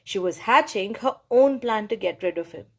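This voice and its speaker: A woman reading a children's story aloud for her channel, in a cheerful, expressive way. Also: on the high side, moderate pace, breathy edge. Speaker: storybook reader, channel host.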